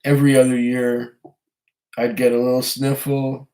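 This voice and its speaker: A man with a hoarse voice from a cold, hosting a casual live stream, reading viewer comments and chatting in a rambling, hesitant way. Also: mid-range voice, level tone, moderate pace, warm tone, poor audio. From Canada. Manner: rambling, hesitant, chuckles often. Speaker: whiskey channel host reading live chat.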